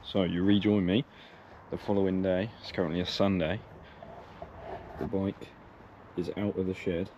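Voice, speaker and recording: A man's voice: sits low, flat delivery, normal speed, long pauses, a little mellow, lo-fi sound, a little hiss or hum. A young man giving plain, matter-of-fact, casual commentary as he works, like a vlogger. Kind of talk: casual narration while working on bikes. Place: car, sheds and yard while working.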